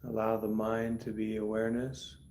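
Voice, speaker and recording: A man guiding a meditation calmly and deliberately, speaking in short instructive phrases with long pauses between them. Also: on the low side, slight background noise. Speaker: meditation teacher. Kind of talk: guided meditation.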